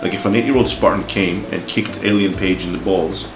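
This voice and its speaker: A man's voice: sits low, steady tone, a little fast, echoey room, noisy background. A man in his forties with a Greek-Australian accent, ranting heatedly with swearing and stumbling repeats as an opinion commentator. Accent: Greek-Australian English. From Greece, raised in Australia. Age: 40s. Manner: heated, profane, repeats words when riled. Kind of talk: opinion rant.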